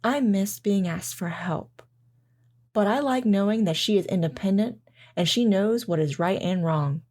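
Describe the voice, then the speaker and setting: A woman's voice: mid-range voice, a little slow, a little mellow, lightly acted. Woman as a loving mom in an audio drama, reflective n sentimental, kinda wistful storytelling. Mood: wistful, tender. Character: loving, wistful mother recalling camping with her daughter. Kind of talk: audio drama narration and dialogue.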